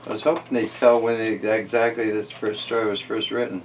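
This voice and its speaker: A man hosting a children's story reading, mixing casual, easygoing chat and musing asides with animated storybook narration. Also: on the low side, a little fast, distant mic, slight background noise, rough recording.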